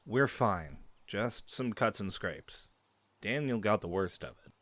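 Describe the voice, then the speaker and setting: A man plays a young male werewolf in an audio roleplay, the leader's earnest son. His delivery is very animated and expressive, and the recording is close and dry. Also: on the low side, takes it slowly, dry recording. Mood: reassuring.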